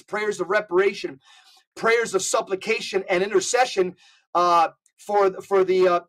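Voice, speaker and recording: A man's voice: high voice, a bit bright, rough recording. A man speaking as a podcast guest, giving impassioned religious talk in an emphatic, exhortatory way and reading out quotations.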